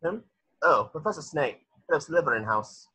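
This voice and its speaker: A man's voice: fairly high pitch, expressive, moderate pace, bright, forceful tone, breathy edge, full character voice. A man playing a self-important teenage school prefect, officious and matter-of-fact, reading a script on lo-fi audio. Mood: informative. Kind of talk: table read of a film script.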